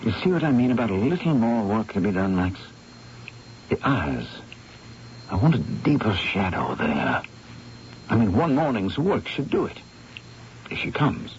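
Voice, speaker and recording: An actor playing a troubled, obsessive painter in a radio mystery drama, speaking with theatrical intensity and hesitant repetitions. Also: male voice, fairly low pitch, very animated, a little slow, pauses often, slight background noise. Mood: brooding. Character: troubled, obsessive male painter, fearful and awestruck. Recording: muffled vintage radio broadcast, music underneath.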